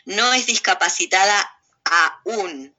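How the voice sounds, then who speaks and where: A woman speaks as an interview guest in a very bright, tense voice. She is blunt and sardonic, stressing her opinions with emphatic repetition. The sound is a bit muffled and lo-fi. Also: mid-range voice, takes it slowly. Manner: blunt, sardonic, emphatic, repeats phrases for effect. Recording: muffled lo-fi audio.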